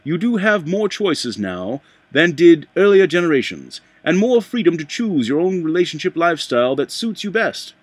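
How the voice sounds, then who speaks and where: Man reading aloud, animated, higher-pitched voice. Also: slightly rough, no echo. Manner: halting, stumbling over words and correcting himself; reading in a deliberately put-on accent. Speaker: amateur book reader. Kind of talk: reading a nonfiction book aloud.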